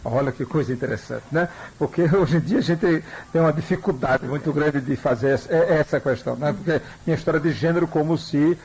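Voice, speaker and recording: A man's voice: on the high side, brisk, few pauses, a little mellow, slight background noise, rough recording. A man with a Pernambuco Brazilian accent, hosting a radio interview. He is engaged with his guest and keeps prompting her with questions. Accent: Pernambuco Brazilian Portuguese.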